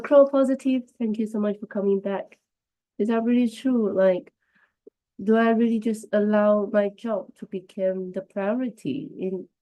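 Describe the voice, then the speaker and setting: A woman's voice: medium pitch, low-quality recording. Woman with a Chinese first language, flu-worn voice. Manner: chatty, warm greetings to viewers, restarts phrases. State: has the flu, voice strained. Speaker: livestream host. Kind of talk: casual live-stream talk to viewers.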